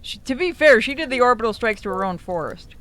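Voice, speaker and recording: Lively, animated woman joking over gameplay. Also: on the high side, fast. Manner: sarcastic, joking, mildly profane. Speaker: video game player. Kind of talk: casual gameplay commentary with friends.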